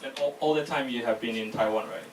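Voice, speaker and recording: A man moderating an informal live panel in English and Mandarin, asking loosely phrased, halting questions. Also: medium pitch. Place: live talk event in a room. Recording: echoey room, distant mic, faint noise.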